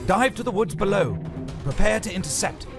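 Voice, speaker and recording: A man's voice: high voice, expressive, normal speed, voice pushed up. A man voicing a stern, disciplined half-elven dragonrider commander in a fantasy audio story, giving orders in a raised voice. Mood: commanding. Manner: commanding, raised over the wind. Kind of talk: fantasy audio drama character. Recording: music behind the voice. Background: music.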